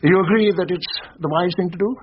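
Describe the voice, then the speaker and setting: An actor playing a brisk, confident upper-class colonel who is easily exasperated, in a radio drama. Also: male voice, high voice, fairly even intonation, lightly acted. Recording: muffled radio drama with faint noise.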